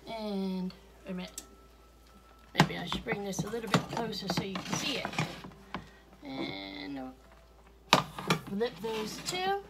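A woman talking casually through a cooking demonstration in her home kitchen, homey and unhurried, chuckling now and then. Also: on the high side, gravelly, heavy background noise. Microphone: fixed camera at counter, speaker moving around.

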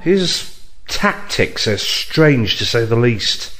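An actor playing a blunt, sardonic police detective who goads people in an audio drama. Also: male voice, mid-range voice, big pitch swings, takes it slowly, loud music behind the voice. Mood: gruff, doubtful. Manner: sardonic, goading.

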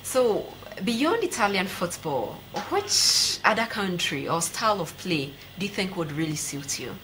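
A woman's voice: lowish voice, sing-song, a little slow, fairly bright tone. A young woman, putting probing, persistent questions as a TV sports interviewer. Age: young adult. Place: indoor TV studio. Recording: noisy TV studio sound.

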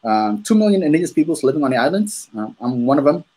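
A man in his thirties from Maluku, hosting a podcast over a video call, telling his story at an unhurried pace with many ums and restarts. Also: on the high side, very animated, mellow. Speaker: podcast host. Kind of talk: casual conversational storytelling. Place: room at home, on a video call. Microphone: earphones with a desk microphone.

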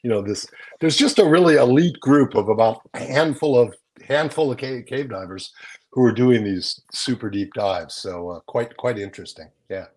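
A man's voice: medium pitch, moderate pace, a little mellow, slightly rough. An older man talking through news items in a casual, explanatory way on a video call from a room at home, often tagging on little questions. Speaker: magazine editor and guest presenter. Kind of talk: casual news rundown in conversation. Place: small home room with bookshelves, indoors. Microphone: video call, close to the screen.

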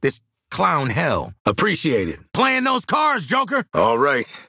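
An actor with an American accent playing a gruff, sardonic assassin in a video game, blunt and slangy, with a raspy voice. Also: male voice, a little high, huge pitch swings, slow pace, bright, forceful tone, no room sound, studio quality, heavily acted. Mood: confident, dry. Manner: brash, blunt, street-smart slang, barking callouts. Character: gruff, no-nonsense male assassin, sardonic squad sharpshooter. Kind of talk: video game combat voice lines.

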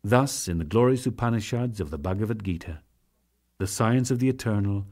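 A man narrating a sacred text as a dramatic, formal reading, solemn and measured in his declamation. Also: fairly low pitch, darkish tone.

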